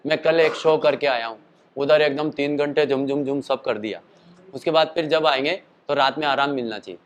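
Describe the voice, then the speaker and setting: A man's voice: mid-range voice, moderate pace, muffled recording. A man teaching singing and voice use in a casual spoken lesson, explaining at length, with a throat tired from a show the night before. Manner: explanatory, chatty, demonstrates calls and sung notes.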